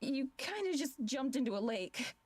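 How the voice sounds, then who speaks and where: A woman's voice: sits high, big pitch swings, moderate pace, darkish tone, breathy edge, no echo, heavily acted. An actress playing a sweet, earnest young woman with tender concern in a visual novel. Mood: worried.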